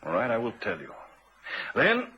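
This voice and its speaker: An actor playing a shrewd, unhurried police inspector in an old-time radio drama, a man with a measured, probing, quietly assured manner. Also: mid-range voice, huge pitch swings, breathy edge, exaggerated voice. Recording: muffled vintage radio recording.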